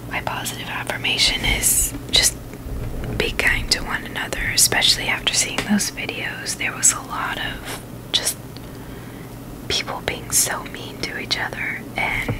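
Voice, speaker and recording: A woman whispering in a breathy, unvoiced voice, chatting casually and haltingly in a gentle ASMR style. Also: rough recording. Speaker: ASMR video host.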